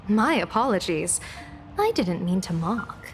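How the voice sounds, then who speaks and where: A poised game-cutscene diviner general, speaks over clear background music. Her delivery is slow and swings widely in pitch, wry and teasing, with theatrical mock courtesy. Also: lively intonation. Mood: amused, mock-polite. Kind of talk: video game cutscene acting. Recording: music behind the voice.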